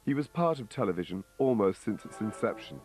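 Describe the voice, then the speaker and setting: A man's voice: lowish voice, sing-song, a little fast. Man narrating a documentary over music.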